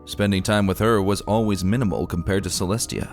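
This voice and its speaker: A man's voice: low voice, steady tone, brisk, a little mellow. A man reading a fantasy story aloud in a solemn, theatrical manner. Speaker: audiobook narrator. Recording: music behind the voice. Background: music.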